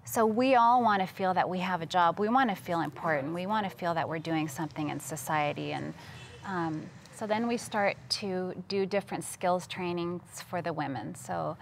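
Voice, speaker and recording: A woman from Costa Rica speaks as a guest in a studio interview. Her voice sits slightly low and her delivery is earnest, like a personal testimony told in conversation. Some background noise is audible. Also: normal speed, gravelly. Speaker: interview guest, missions worker. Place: TV studio, seated at a table.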